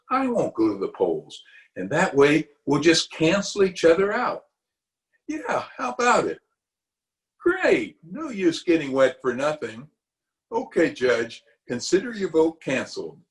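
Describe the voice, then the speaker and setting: A man playing a pompous, self-justifying middle-aged uncle in an old-time radio comedy, with a blustery, chuckling manner. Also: a little high. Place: recorded remotely over a video call.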